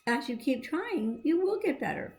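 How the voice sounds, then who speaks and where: A woman's voice: high voice, very animated, moderate pace, darkish tone. A woman reading and explaining a storybook to children in a simple, encouraging, teacherly manner. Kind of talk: read-aloud with explanatory asides to children.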